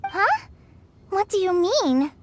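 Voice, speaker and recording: High, earnest young adventurer. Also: female voice, sing-song, slow pace, background noise, exaggerated voice. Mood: inquiring. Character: earnest young woman adventurer, careful and polite. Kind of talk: video game voice acting.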